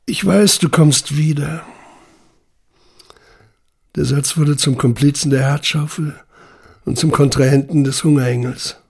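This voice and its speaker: A man playing a survivor who solemnly reflects on his memories in a slow literary recitation, with a husky, gravelly voice. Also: on the high side, a little mellow, heavily acted.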